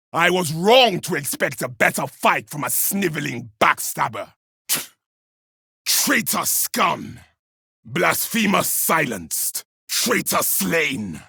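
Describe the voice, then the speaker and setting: Raspy, wrathful Space Marine warrior. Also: male voice, fairly high pitch, dry recording, studio quality, exaggerated voice. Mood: scornful contempt. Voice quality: raspy, gravelly. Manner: scornful, forceful, declamatory. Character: battle-hardened male Space Marine, contemptuous, zealous, wrathful warrior. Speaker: video game character. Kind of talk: combat kill barks from a video game.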